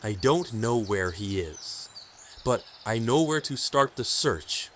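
An actor playing a solemn, stern hermit keeper of a sacred order, speaking formally in an audio drama. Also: male voice, mid-range voice, animated, slow, fairly bright tone, slight background noise. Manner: grave, portentous, formal.

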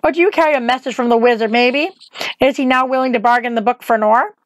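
A woman's voice: fast talker, no room sound, natural voice. A woman voicing a weary, imperious witch with a commanding, sardonic and menacing manner in an audiobook. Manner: low, even, commanding. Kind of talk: audiobook character acting.

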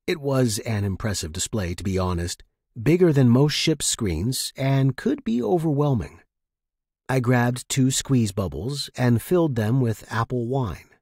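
A man with a husky voice reading a first-person fiction story as a scripted narration. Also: mid-range voice, sing-song, a little slow, a little mellow, no room sound, clean studio sound.

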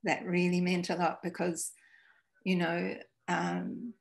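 A woman in her sixties from New Zealand, a school principal telling stories in a video-call interview, halting and restarting. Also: fairly low pitch, expressive, gravelly. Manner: warm storytelling, halting restarts. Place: home room, indoors. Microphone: video call webcam.

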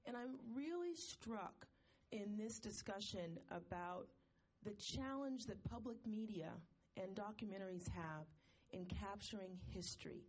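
A woman in the audience of a panel discussion, making a long, earnest comment in a measured way. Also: mid-range voice, lively intonation. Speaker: audience member asking a question. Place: conference hall audience.

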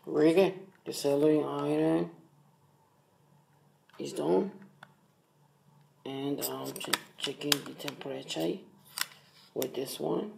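Iranian accented guy doing a hobby tutorial, super creaky voice, echoey room w faint noise. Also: on the high side, fairly even intonation. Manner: halting, short broken phrases. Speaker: electronics hobbyist presenter. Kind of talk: casual hands-on tutorial. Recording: roomy echo with faint noise.

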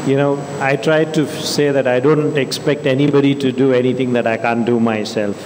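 A man's voice: mid-range voice, fairly even intonation, fairly quick. A middle-aged man speaking earnestly into a handheld mic on a stage panel, sharing reflective business stories. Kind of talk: panel discussion. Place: large stage hall. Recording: echoey hall with faint hum.

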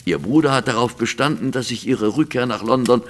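A man's voice: medium pitch, lively intonation, brisk, lightly acted. An actor playing a grave, proper, practical businessman past middle age in a German audio drama, speaking formally and earnestly. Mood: grave, worried. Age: middle-aged.

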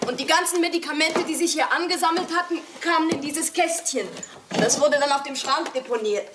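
An actress playing a breezy, irreverent woman with a brisk, teasing manner in a radio play. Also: high-pitched, close to an everyday voice. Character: breezy, irreverent woman who likes strong drinks. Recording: noisy old radio play recording.

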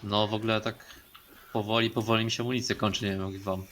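A man's voice: on the low side, little pitch movement, quick pace, a crowd in the background. A man giving casual gameplay commentary as he plays with friends. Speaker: video creator playing with friends. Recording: clearly noisy game voice recording.

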